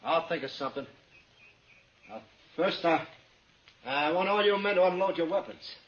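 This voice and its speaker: An actor playing a nervous, hostile young outlaw in a 1950s radio western, curt and menacing. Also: male voice, on the high side, lively intonation, moderate pace, lightly acted. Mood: tense, sneering. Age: young adult. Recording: dull, faintly noisy radio recording.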